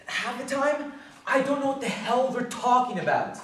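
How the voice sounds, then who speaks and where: An actor playing an agitated, bitter working-class bookkeeper in a rambling, slangy, halting theatrical stage monologue. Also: male voice, very high voice, very animated, a bit bright, exaggerated voice. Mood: defensive. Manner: rambling, halting, slangy, swinging from muttering to outbursts. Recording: echoey distant stage recording, faint noise.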